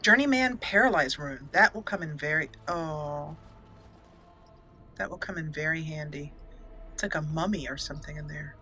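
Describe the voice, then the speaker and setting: A woman's voice: low voice, normal speed, sharp tone, faint music behind. A woman giving casual, chatty let's play commentary as a gaming host, playfully exclaiming and talking to the characters on screen.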